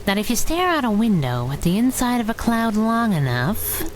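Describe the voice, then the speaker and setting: A woman's voice: a little high, very animated, moderate pace, slight background noise, rough recording. A woman playing a sassy, wisecracking young heroine in a radio drama, wry and teasing. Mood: wry boredom.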